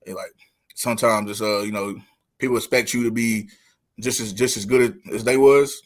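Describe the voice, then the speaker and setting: A man's voice: lowish voice, fairly even intonation. Easygoing man hosting a podcast. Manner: informal slang, halting restarts, "you know what I'm saying". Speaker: podcast host. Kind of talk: casual interview.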